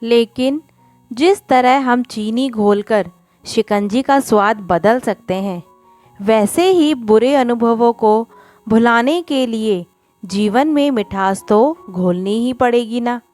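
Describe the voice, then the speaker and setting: Kindly professor read by a female storyteller, grave and patient, very slow, music faintly behind. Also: a little high, darkish tone, no room sound. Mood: grave, advising. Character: kindly, grave male professor giving gentle advice.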